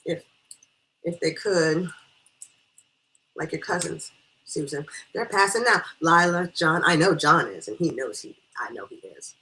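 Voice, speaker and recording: A woman voicing a chatty, excitable, nosy female schoolteacher from a small town in a storybook reading. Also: deep voice, unhurried, pauses often, full character voice, poor audio. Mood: gossipy. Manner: gushing, gossipy, stammers when flustered.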